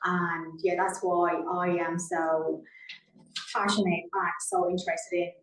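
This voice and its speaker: A woman co-hosts a webinar in a conversational style. Her voice sits slightly low, and the recording is roomy and echoing, a little away from the microphone. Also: flat delivery, slow pace, background noise. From Thailand. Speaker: immigration and mobility consultant co-hosting a webinar. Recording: roomy echo, distant microphone.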